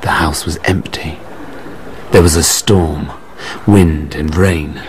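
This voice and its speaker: Deep-voiced, suave, insistent gentleman. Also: fairly even intonation, slow, a little hiss or hum. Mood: politely probing. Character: suave, polite, quietly relentless gentleman agent.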